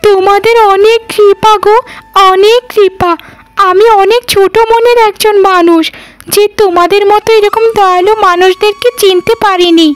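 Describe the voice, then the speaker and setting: Woman voicing an extremely high-pitched, beseeching village wife. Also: steady tone, moderate pace. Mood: humbly grateful. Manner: wailing, beseeching, repetitive. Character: proud rich village wife, humbled and begging. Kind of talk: melodramatic Bengali cartoon dub acting.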